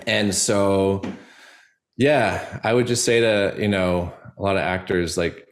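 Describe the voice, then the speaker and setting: A man speaking casually and informally as an interview guest, in a halting way with many filler 'likes'. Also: sits low, steady tone. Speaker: actor, podcast guest. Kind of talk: casual personal interview talk.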